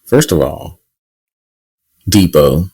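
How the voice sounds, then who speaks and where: A man with a very low, deep voice hosts a podcast in relaxed, casual conversation. He teases his co-host constantly and keeps the banter light and playful. His delivery is loose and chatty. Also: extremely slow, creaky, breathy edge. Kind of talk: casual chat.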